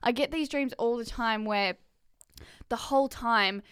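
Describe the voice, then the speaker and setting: A woman co-hosting a podcast in casual chat, animated and rambling with quick laughs and restarts. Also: a little high, clean tone, no room sound, studio quality. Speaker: podcast co-host.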